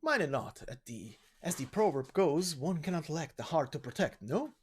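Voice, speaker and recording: A man voicing a polite, old-fashioned, fretful but genial gentleman traveler from a game story, speaking in formal, theatrical phrases. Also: on the high side, very animated, moderate pace, breathy edge, heavily acted. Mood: courteous, eager. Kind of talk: video game story voice acting.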